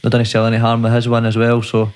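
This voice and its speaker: Fast-talking man. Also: medium pitch, monotone, darkish tone, smooth. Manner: quick, chatty, ends lines with "man". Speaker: fighter and coach. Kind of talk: casual chat.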